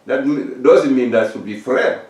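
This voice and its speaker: Older man, West African English accent, earnest, halting fillers, voice a bit off the mic, echoey room. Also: mid-range voice, lively intonation, darkish tone, far from the mic. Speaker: discussion participant. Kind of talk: casual group discussion.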